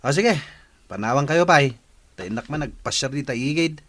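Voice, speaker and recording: A man's voice: medium pitch, animated, normal speed, a bit bright, lightly acted. A man playing a cheeky, jovial villager in an Ilocano radio comedy drama, with an Ilocano accent.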